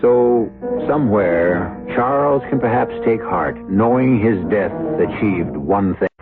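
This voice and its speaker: An American man hosting a 1970s radio mystery, narrating theatrically in measured phrases with dramatic pauses. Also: fairly low pitch, expressive. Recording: tinny old radio, loud music behind. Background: music.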